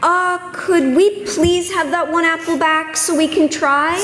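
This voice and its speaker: A woman's voice: very high voice, fairly even intonation, a little slow, a bit bright. A woman playing a sincere, practical village child in an amateur readers theater. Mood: hopeful. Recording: echoey hall, faint noise.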